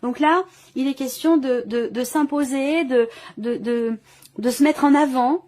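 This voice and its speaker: A woman with a fairly high voice hosts an intuitive tarot reading. She speaks off the cuff, searching for her words and rephrasing ideas as she interprets. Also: unhurried, a little mellow. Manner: hesitant, searching phrasing with repeated rewording. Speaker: tarot reader hosting a video reading. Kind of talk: intuitive tarot reading, improvised spoken commentary.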